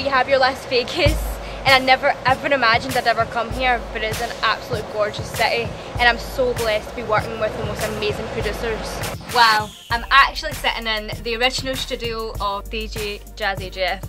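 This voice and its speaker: A woman's voice: fairly high pitch, steady tone, a little fast, music in the background. Upbeat young Scottish woman. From Scotland. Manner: earnest, upbeat, gushing. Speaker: aspiring singer. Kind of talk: promotional profile talk to camera. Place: studio and city streets.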